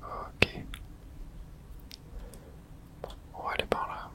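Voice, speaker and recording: Male, breathy whisper, hushed, ASMR game commentary, short remarks, thinking aloud. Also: slow pace. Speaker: video game streamer.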